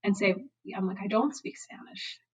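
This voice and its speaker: A woman's voice: mid-range voice, normal speed, slightly rough. A woman whose first language is Bengali speaks in an interview with emphatic, passionate conviction. She builds her points with personal examples and pointed questions. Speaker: guest, diversity executive. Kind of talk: podcast interview.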